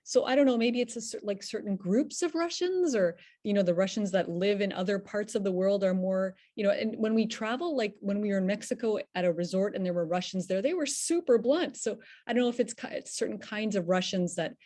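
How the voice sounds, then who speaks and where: A woman speaks up on a video call during a class discussion. She talks in a loose, chatty way that keeps rising in energy, and her voice rests in a middle pitch. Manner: animated, chatty, lots of "like" and "you know". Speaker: webinar participant. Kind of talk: offering an opinion in class discussion.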